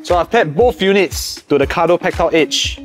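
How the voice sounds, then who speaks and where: Casual review co-host: Singaporean man in his thirties, slightly higher-pitched, faint music underneath. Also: animated, normal speed. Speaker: co-host and product tester. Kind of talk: casual product review chat.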